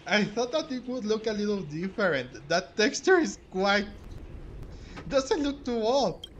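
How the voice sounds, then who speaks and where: A man doing casual gameplay commentary and reading dialogue aloud, with a hesitant, halting delivery. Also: high voice, sing-song, a bit bright, slight background noise, poor audio. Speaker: gamer playing through a story game and reading out dialogue. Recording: music playing behind the voice. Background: game music.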